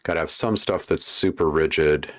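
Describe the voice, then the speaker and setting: A man's voice: extremely low pitch, normal speed, a bit bright, no room sound. A man hosting a casual livestream while making beats, chatting with viewers and thinking aloud as he works. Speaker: livestream host and music producer.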